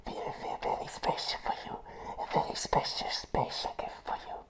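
A young man playing a crazy, jittery circus clown in a whispered ASMR roleplay, haltingly repeating his words with nervous apology in his manner. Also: close to an everyday voice. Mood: nervous, eager. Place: small room with a plain grey wall. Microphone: close to the mic and camera.

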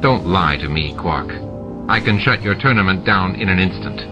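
A man voicing a stern, dry shapeshifting male security chief who talks in measured, sarcastic sentences. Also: deep voice, expressive. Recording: dull lo-fi with music behind.

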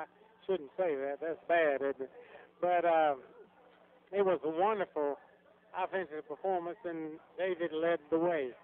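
A man with a fairly high voice does a locker-room sports radio interview. He is chatty and builds up to his questions at length. The sound is thin and lo-fi, like an old radio broadcast. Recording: muffled lo-fi radio broadcast recording.

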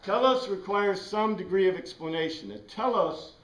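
A man's voice: high-pitched, expressive, moderate pace, fairly bright tone. A middle-aged man with an American accent giving an informal classroom lecture, rambling conversationally and putting questions to the class. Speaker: class teacher. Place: small classroom with whiteboard and bookshelves. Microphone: far camera across the room. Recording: echoey room, distant mic, background noise.

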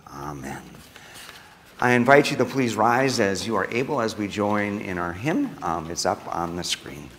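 An American man speaking as a pastor leading worship, reading liturgy to a congregation in a measured, solemn manner. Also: fairly low pitch, very animated. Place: church sanctuary during a service. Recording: reverberant church hall.

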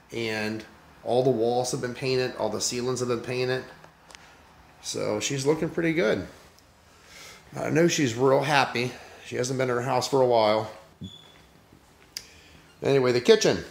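Middle-aged contractor, gravelly and plain-spoken, phone video in echoey empty rooms. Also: male voice, mid-range voice, fairly bright tone, slight background noise. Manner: casual, plain-spoken, upbeat. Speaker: renovation contractor. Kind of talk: walkthrough vlog. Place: house under renovation, indoors. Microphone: phone camera, handheld while walking.

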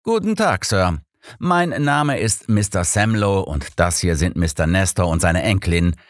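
A man voicing a sardonic, sharp-minded elderly detective in an audiobook, pointed and dryly persuasive. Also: sits low, expressive, unhurried, no room sound, clean studio sound. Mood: smoothly polite. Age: older.

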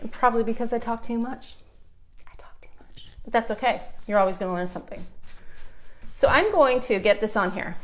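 A woman in her thirties with a Canadian accent, chatting through a live furniture painting tutorial while she works in a room at home. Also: mid-range voice. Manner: chatty, talks while working, asks viewers questions.

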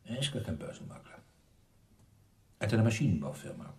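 A dogged, sardonic police inspector, deep and lively. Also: male voice, brisk, distant mic. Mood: sardonic, pressing. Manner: probing, dryly sarcastic. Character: dogged, sarcastic male police inspector interrogating a suspect. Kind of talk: German radio crime drama acting.

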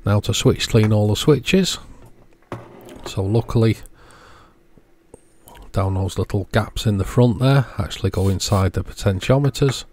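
A man's voice: lowish voice, a little slow, pauses often, a little mellow. A man casually narrating a hands-on repair, explaining each step in a matter-of-fact way. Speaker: host of radio repair channel.

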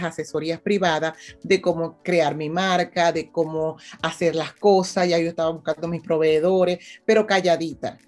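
Lively Venezuelan woman in her fifties. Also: medium pitch. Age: 50s. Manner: animated, often checks in with "¿ves?". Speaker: interview guest, entrepreneur. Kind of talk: casual conversational interview.

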